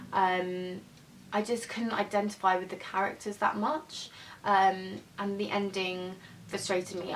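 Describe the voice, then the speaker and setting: A woman talks through books in an easygoing, unscripted way, often pausing to find her words. Her voice sits at a middle pitch and sounds like it was picked up from a little way off. A faint low rumble hums behind her. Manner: chatty, unscripted, hesitant. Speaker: book vlogger. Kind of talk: casual book review chat. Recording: voice from a distance over faint rumble.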